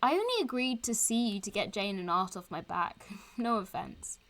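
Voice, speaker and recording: A woman's voice: medium pitch, very animated, moderate pace, fairly bright tone. A woman playing a cheeky, strong-minded young woman in an audio drama, talking casually and teasingly like someone making a vlog. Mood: defensive, frustrated. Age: young adult. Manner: chatty, teasing, informal.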